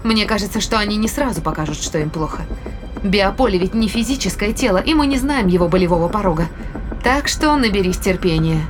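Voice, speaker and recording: Woman acting a cool female scientist, mid-pitched. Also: lively intonation, a little fast, lightly acted. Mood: cool, patient. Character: cool, patient female spaceship scientist with a cruel streak. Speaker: actress in an audio drama. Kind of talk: science fiction audio drama acting. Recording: music behind the voice.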